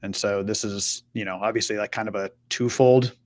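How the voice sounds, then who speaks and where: A man's voice: lowish voice, steady tone, normal speed, no room sound. Man casually presenting, dry close sound. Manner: informal, voices imagined customer questions as examples. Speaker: presenter on a web marketing live show. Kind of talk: conversational screen-share walkthrough.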